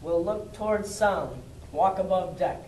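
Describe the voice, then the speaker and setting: High-voiced man narrating in an echoey room. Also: unhurried, darkish tone, slight background noise. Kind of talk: scripted amateur puppet show narration. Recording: echoey room, distant mic, music behind.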